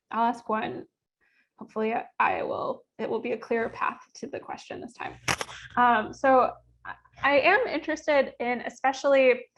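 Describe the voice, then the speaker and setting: Higher-voiced woman, tentative panel moderator. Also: gravelly, a bit bright, highs cut off. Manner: tentative, searching, self-correcting. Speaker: moderator and poetry reader. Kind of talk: informal Q&A moderating.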